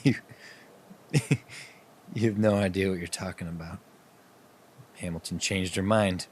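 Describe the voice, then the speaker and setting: A man playing a halting, chuckling rival tow driver who turns creepy, in an amateur radio mystery. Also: low-pitched, steady tone, darkish tone. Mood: smug. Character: slow-talking rival tow driver Frank, turning creepily entranced.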